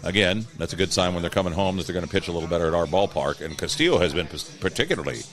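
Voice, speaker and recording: A man talking casually about sports as an interview guest, with a measured, halting delivery. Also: sits low, lively intonation. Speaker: guest, PA announcer and post-game radio host.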